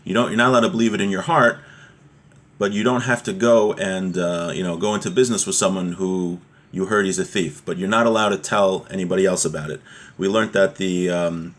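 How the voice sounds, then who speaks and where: A man's voice: low voice, steady tone, a little fast, a bit bright. A man teaching an informal class on religious law, explaining a text step by step with everyday examples.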